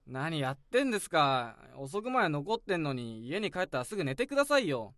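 A friendly young office junior in a radio drama, polite but easygoing. His voice is slightly high and lively in pitch. The sound is dry and close. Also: fairly quick, no room sound. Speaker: junior coworker.

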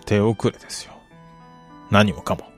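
A man's voice: fairly low pitch, steady tone, a little slow, faint music behind, close to an everyday voice. An actor playing a cool, cynical man in a radio drama, speaking in a detached, cryptic and curt way. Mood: cold, probing. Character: cool, cynical male stranger. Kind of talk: Japanese radio drama acting.

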